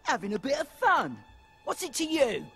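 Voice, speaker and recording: A woman's voice: high-pitched, very animated, moderate pace, loud music behind the voice. A woman playing a cruel, taunting young street bully boy in a video game. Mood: menacing, taunting. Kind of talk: video game cutscene dialogue.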